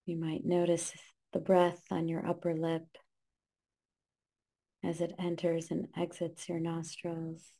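A woman leading a guided meditation, giving calm, gentle instructions in short, spaced phrases. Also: lowish voice, takes it slowly, long pauses.

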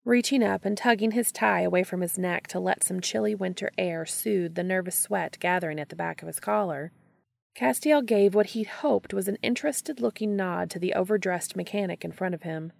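A woman hosting and narrating fan fiction in a scripted, expressive storytelling read. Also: mid-range voice, a little fast, gravelly. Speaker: podcast host and reader.

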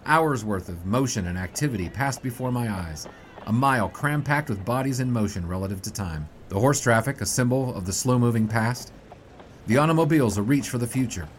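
An actor playing an earnest, wry young 1920s sports reporter in a period radio drama. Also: male voice, medium pitch, expressive, fairly quick, slight background noise, lightly acted. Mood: reflective. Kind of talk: period radio drama dialogue and first-person narration.

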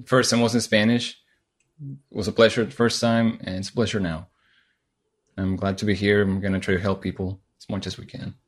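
A man, a software engineer, speaking candidly and confidently as a podcast guest telling his career story. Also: lowish voice, level tone, a little fast. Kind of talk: podcast interview.

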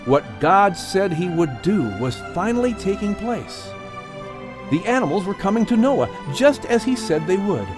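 A man's voice: high voice, very animated, unhurried, over music. A man narrating an audiobook story expressively, in a dramatic and earnest manner.

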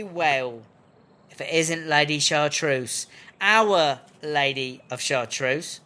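A man's voice: on the high side, fairly bright tone. A man playing a crafty, foul-mouthed female prison wine brewer with a British accent, chummy and wheedling, in a comedy audio drama. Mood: cheeky, friendly.

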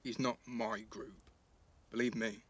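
A man's voice: medium pitch, little pitch movement, a little slow, no room sound, natural voice. An actor playing a cheeky, blunt teenage boy with an English accent, teasing and slangy in a naturalistic audio drama. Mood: wry, probing.

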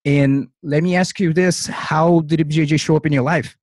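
A man's voice: on the high side, steady tone, moderate pace, darkish tone, no echo, clean studio sound. A man hosting a podcast, introducing his guest and asking friendly, short questions. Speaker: podcast host.

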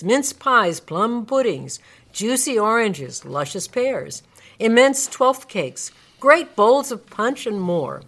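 A woman's voice: a little high, fairly bright tone. A woman reading scripted storybook narration as the narrator of a radio drama.

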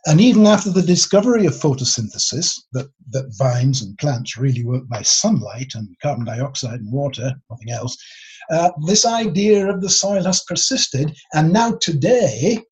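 A man explaining his subject informally as an expert being interviewed, in a husky voice. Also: a little high, expressive, moderate pace, a little mellow. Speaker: expert interviewee, geology author. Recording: dull, lo-fi sound.

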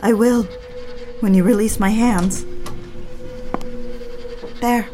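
A woman's voice: on the high side, steady tone, slow, warm tone, slight background noise. An actress playing an anxious, deferential wife who keeps trying to placate her menacing husband in an audio drama. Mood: fearful compliant. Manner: nervous, appeasing, deferential. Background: music bed.

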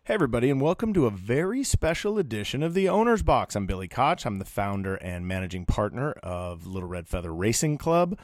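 Lively-voiced male podcast host. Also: lowish voice, fairly quick, few pauses, dry recording, clean studio sound. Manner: upbeat, bantering. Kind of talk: casual interview.

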